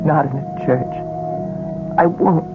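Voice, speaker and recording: A woman's voice: deep voice, fairly even intonation, slow. A desperate young woman in withdrawal. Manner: breathless, pleading. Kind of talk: 1970s radio drama acting. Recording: dull old radio broadcast with music.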